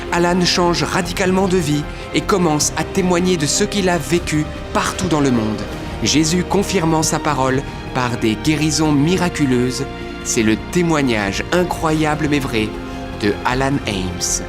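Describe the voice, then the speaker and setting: A man's voice: fairly high pitch, moderate pace. A man reading a scripted promotional introduction as the narrator of a testimony show. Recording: music behind the voice. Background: music.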